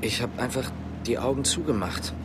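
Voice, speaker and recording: A man's voice: medium pitch, moderate pace, natural voice. A man playing a guarded, brooding East German policeman on the run in a radio drama, speaking tersely and with understatement. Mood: resigned. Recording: noisy, car and traffic sounds. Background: vehicle ambience.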